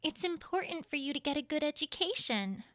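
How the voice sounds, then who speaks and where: A woman voicing a caring, sensible young mare in an acted audiobook, reassuring and gently instructive. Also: high-pitched, animated, brisk, dry recording, studio quality. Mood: patient, firm. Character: caring, sensible young female pony guardian.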